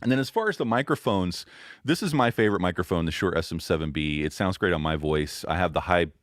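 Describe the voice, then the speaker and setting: A man with a boomy voice, a podcast guest explaining microphones and audio settings in a relaxed, casual interview chat. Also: fast talker, no echo, clean studio sound. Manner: relaxed, explains gear step by step with fillers.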